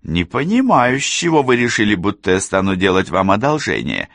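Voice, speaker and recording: A man's voice: on the low side, lively intonation, normal speed, gravelly, a bit bright, dry recording, pitched above the performer's own voice. A man voicing a cold, haughty young woman who talks down to people with smug, imperious disdain. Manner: condescending, imperious.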